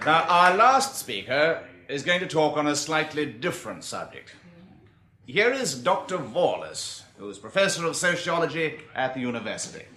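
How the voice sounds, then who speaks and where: An actor playing an earnest, resolute committee chairman making a formal, measured address to survivors in a radio drama. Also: on the high side, sing-song, bright, forceful tone. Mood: formal, courteous. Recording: roomy, echoing meeting hall.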